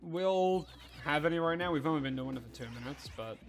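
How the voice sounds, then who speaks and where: A young man with a slightly high, stuffy, nasal voice chats through a gaming stream, greeting viewers and thinking out loud with plenty of fillers. Soft music plays faintly underneath. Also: fairly quick. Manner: chatty, greets viewers, muses aloud with fillers. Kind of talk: casual live-stream chat while gaming.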